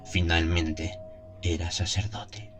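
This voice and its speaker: A man's voice: low voice, monotone, slow pace. A man narrating a gothic tale in the first person as a scripted audio drama, solemn and theatrical in his storytelling. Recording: music behind the voice. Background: music.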